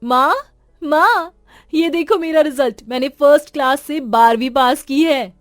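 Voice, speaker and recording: A woman's voice: high voice, unhurried, dry recording, clean studio sound, faint music behind, voice pushed up. A woman voicing a brash, discontented young village daughter with an eager, whiny manner. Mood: excited.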